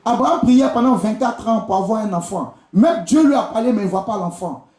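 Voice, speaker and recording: A man's voice: sits high, animated, brisk, a little mellow. A man with an Ivorian accent preaching a charismatic church sermon, exhorting the congregation directly and repeating key phrases. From Côte d'Ivoire. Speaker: visiting preacher and prophet. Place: indoor church during a live service. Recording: echoey church hall sound.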